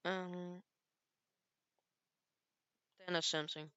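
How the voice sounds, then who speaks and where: Casting note: teen girl, low and unhurried, halting English, smooth dry close-mic sound. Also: little pitch movement, pushed and bright, no echo, lo-fi sound. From Belgium. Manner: halting, searches for English words. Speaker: gaming youtuber. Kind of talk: casual gameplay commentary.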